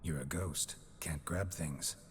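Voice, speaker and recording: A man's voice: extremely low pitch, takes it slowly. An actor playing a terse, dry-humored male monster hunter in a video game. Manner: dry, clipped and understated. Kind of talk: video game cutscene acting. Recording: game audio with noisy ambience.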